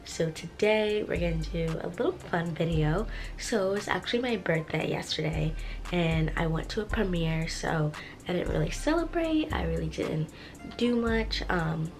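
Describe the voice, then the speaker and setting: A woman vlogging casually on a phone held at arm's length in her bedroom, chatty and upbeat, her voice a little rough from just waking up. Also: fairly low pitch, lively intonation, normal speed. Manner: chatty, upbeat, lots of filler ums. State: slightly rough, just woken up. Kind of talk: casual vlog chat. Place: bedroom at home. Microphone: phone camera at arm's length.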